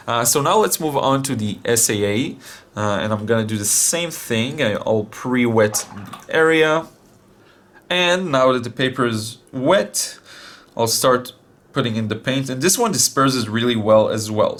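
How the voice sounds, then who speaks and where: A young man demonstrating watercolor paints, talking casually with many fillers, his voice still a little deep after being sick. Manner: relaxed, thinking aloud with many fillers. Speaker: art channel host.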